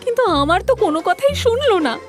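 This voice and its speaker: A scolding young cartoon wife with an extremely high, shrill voice. Her exasperated complaints swing widely in pitch, with music playing underneath. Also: very animated, rapid-fire. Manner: dramatic, complaining. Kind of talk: comic cartoon acting.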